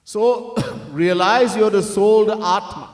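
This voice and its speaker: Man, spiritual teacher, higher voice, earnest, repeats for emphasis, roomy echo, distant mic. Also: slow pace. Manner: breaks into sung chanting of verses. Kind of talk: devotional discourse. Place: temple hall. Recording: echoey room, mic set far off.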